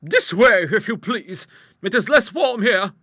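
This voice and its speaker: A man voicing a brisk, outspoken middle-aged English aunt in a storybook, hearty, emphatic and used to commanding. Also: high-pitched, expressive, bright, forceful tone, no echo, studio quality, voice pushed up, exaggerated voice. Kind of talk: storybook character voice in an audiobook.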